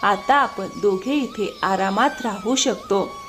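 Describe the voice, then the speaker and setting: A woman's voice: fairly high pitch, wildly swooping pitch, slow, fairly bright tone, soft background music, full character voice. A woman voicing a greedy, smug, scheming village wife in a cartoon moral story. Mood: smug, pleased.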